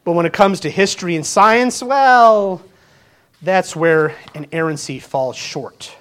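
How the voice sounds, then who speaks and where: A man's voice: sits high, a little slow, a bit bright, clean tone. A man of fifty, a pastor, preaching a sermon in an earnest, conversational way, full of rhetorical questions and small restarts. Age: 50s.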